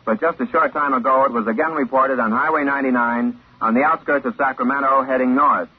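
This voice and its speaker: Highway patrol radio announcer, very rough husky voice, formal and sober, tinny old radio. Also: male voice, fairly even intonation, brisk. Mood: official, sober. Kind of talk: formal scripted bulletin in a radio drama. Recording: thin, tinny 1950s radio recording.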